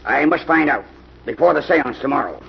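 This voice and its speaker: Husky, stern older professor. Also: male voice, mid-range voice, expressive, brisk, heavily acted. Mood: probing. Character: stern older professor, suspicious academic investigator. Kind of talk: 1940s radio mystery acting. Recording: dull vintage radio recording.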